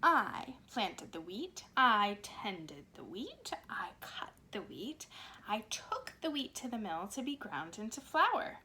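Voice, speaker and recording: Woman voicing a slow, emphatic little red hen. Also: on the high side, big pitch swings, pushed and bright, slight background noise, heavily acted, poor audio. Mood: proud, firm. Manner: emphatic, drawn-out, deliberate declarations. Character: determined, self-reliant little red hen, female storybook animal.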